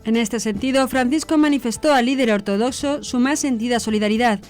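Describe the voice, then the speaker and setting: A woman presents scripted news in a formal, measured manner. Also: on the high side, a little mellow. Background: background music.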